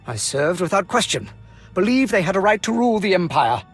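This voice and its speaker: A man's voice: sits high, sing-song, fairly quick, a bit bright, husky, faint music behind, heavily acted. An actor playing a proud, idealistic Imperial colonel in a video game, speaking formally and earnestly in his own defence. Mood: impassioned, defensive. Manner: formal, earnest, impassioned speechmaking. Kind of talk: dramatic video game acting.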